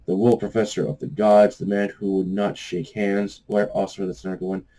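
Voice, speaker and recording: A man hosting a book review vlog in a casual, off-the-cuff way, halting and meandering as he searches for words. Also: on the low side, little pitch movement, warm tone, poor audio. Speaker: host of a book review channel.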